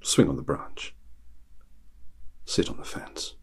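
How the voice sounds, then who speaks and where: A man playing a wry, musing Hanged Man from a tarot deck come to life, speaking haltingly in an ASMR roleplay. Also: darkish tone, natural voice. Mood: teasing, musing. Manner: hesitant, halting, wordplay-loving, musing. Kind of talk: theatrical ASMR roleplay monologue.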